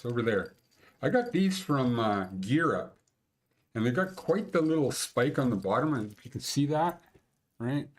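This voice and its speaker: A man in his sixties with a Canadian accent, hosting a casual mountain-conditions show from a small room at home and rambling through it with blunt, crude jokes. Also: mid-range voice. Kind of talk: casual screen-share commentary.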